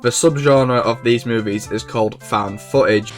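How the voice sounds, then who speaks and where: A man's voice: mid-range voice, normal speed. A man hosting a video essay, reading informal scripted narration. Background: background music.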